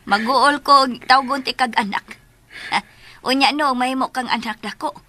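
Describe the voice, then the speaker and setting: A woman's voice: sits high, moderate pace, bright, forceful tone. A Visayan Filipino woman playing a bossy, motherly household mistress in a comedic radio drama, scolding and bantering with affection. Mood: fondly teasing. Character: bossy, teasing, motherly household mistress who bickers fondly. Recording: noisy radio drama recording.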